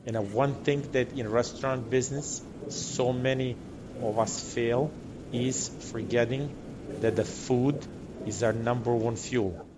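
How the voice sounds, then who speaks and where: A man's voice: medium pitch, steady tone, unhurried. A man from Montenegro, a restaurant owner, speaking as a podcast guest in English, effusive and thankful. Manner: warm, grateful, effusive. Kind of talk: casual interview talk. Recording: audible background noise.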